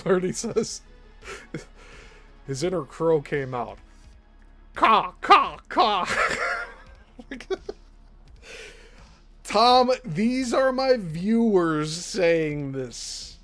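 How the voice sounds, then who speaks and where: Midwestern male streamer, slow, blunt, exasperated, faint music bed. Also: high voice, sing-song, sharp tone. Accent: Midwestern American. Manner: blunt, exasperated, laughs often. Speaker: show host. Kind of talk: live-stream commentary on played clips.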